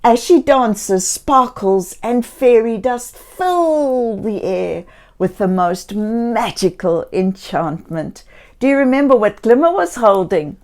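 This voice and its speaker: A woman reading a picture book aloud to young children in a theatrical way, slipping into hushed tones and exclamations and gently questioning her listeners. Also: mid-range voice. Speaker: children's storybook reader.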